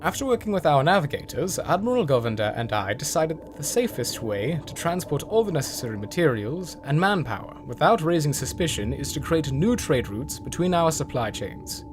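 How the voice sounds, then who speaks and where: A man's voice: fairly high pitch, sing-song, a little fast, hardly any pauses. A man playing a proud, zealous military general with a formal, speechifying manner in a dramatic comic dub. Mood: formal, resolute. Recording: music behind the voice. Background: music.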